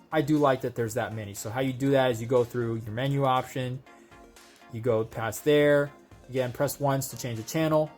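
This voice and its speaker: A man giving a casual, conversational hands-on product demonstration, explaining things step by step as a video host. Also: mid-range voice.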